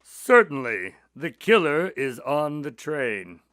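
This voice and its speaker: An actor playing an inquisitive, somewhat formal detective's sidekick in a radio drama. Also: male voice, a little high, very animated, takes it slowly, a bit bright, no echo, studio quality, exaggerated voice. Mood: wry, narrating. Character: Dr. Watson's grandson, detective's eager questioning sidekick.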